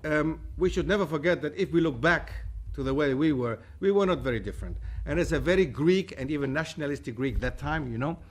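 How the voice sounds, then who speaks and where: Lively, storytelling man in his forties, a lecturer from Germany, speaking at a table mic in a room with a projection screen. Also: on the high side, fairly quick, few pauses. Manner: animated, gestures with both hands, tells stories. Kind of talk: university talk with audience questions. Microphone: table mic on a stand.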